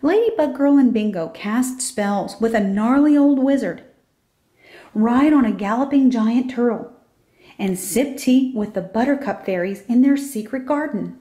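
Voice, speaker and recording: A woman reads a children's picture book aloud. Her voice sits fairly high and has a soft, mellow tone suited to story narration. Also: measured pace. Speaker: storybook reader.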